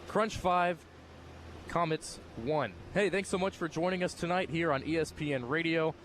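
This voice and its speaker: Young american guy calling sports, slightly high voice, some background noise from the venue. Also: moderate pace, fairly bright tone. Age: young adult. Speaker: sports broadcaster, play-by-play announcer and postgame radio host. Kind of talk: sports commentary.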